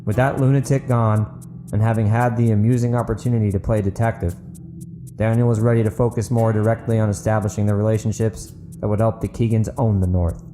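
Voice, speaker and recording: Male podcast narrator and host, slightly low voice, story reading with guitar music clearly underneath. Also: level tone, fairly quick. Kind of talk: audiobook narration and podcast outro.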